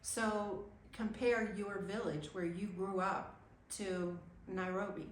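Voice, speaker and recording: A woman, interviewing someone with curious, unhurried questions. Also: mid-range voice, fairly even intonation, reverberant, slight background noise. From United States. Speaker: host asking questions. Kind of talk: casual interview.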